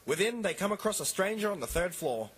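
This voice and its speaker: A man's voice: sits high, sing-song, fairly quick, husky, heavily acted. A man playing a pompous, melodramatic announcer narrating a detective serial in the style of 1950s radio drama. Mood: suspenseful. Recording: loud music behind the voice.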